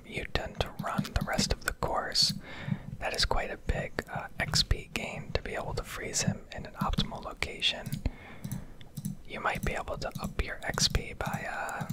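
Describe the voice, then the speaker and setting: A woman doing ASMR commentary over gameplay, rambling and explaining in a whisper at a close microphone. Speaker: gaming video creator.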